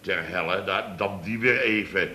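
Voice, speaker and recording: Sharp, blustering boss. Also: male voice, low voice, moderate pace, reverberant. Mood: sardonic, irritated. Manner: emphatic storyteller, repeats himself, sardonic. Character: blustering, exasperated male boss of a small building firm. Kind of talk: 1970s radio comedy acting. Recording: noisy vintage radio recording.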